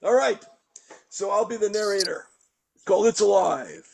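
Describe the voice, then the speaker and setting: High, creaky-voiced man narrating. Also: unhurried, a little hiss or hum. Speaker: sketch writer and narrator. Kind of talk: casual introduction and comic sketch narration.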